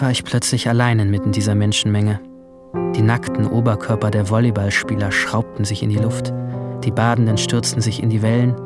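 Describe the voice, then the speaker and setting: A man's voice: mid-range voice, lightly acted. A young man playing a brooding teenage boy who narrates his own story in subdued, introspective short phrases. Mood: wistful. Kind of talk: first-person scripted drama narration. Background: music.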